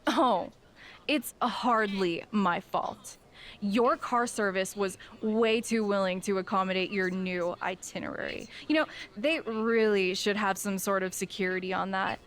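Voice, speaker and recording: An actress playing a smug, scheming wrestler in a video game, with an American accent and sarcastic mock sincerity. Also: female voice, medium pitch, close to an everyday voice. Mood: smug, demanding. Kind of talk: video game cutscene acting, wrestling promo.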